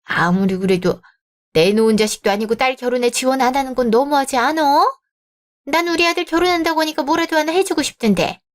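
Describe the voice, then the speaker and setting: A woman's voice: sits high, brisk, close to an everyday voice. A woman voicing an overbearing middle-aged mother of the groom in a radio drama, nagging and indignant. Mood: prying.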